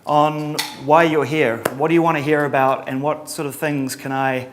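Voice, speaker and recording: A middle-aged man from New Zealand with a Kiwi accent, giving a relaxed conference talk with lots of ums and a dry sense of humor. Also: on the high side, moderate pace, noisy background. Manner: casual, many ums and uhs, dry humor. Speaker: conference presenter.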